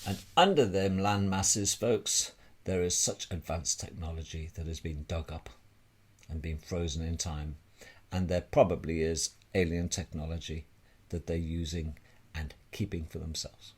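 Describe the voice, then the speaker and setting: A man's voice: deep voice, fairly even intonation, a little slow, breathy edge. A man giving an informal, emphatic explanatory monologue as an amateur presenter, repeating phrases and posing rhetorical questions.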